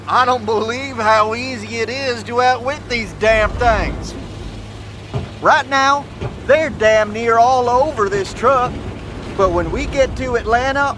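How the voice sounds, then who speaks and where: An actor playing a cocky, boastful middle-aged survivalist talking folksily to his own camera in an audio drama, swearing freely. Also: high-pitched. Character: cocky middle-aged male doomsday prepper driving an armored truck. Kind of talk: self-recorded video monologue in audio drama. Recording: noisy inside a moving vehicle. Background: vehicle engine and road noise.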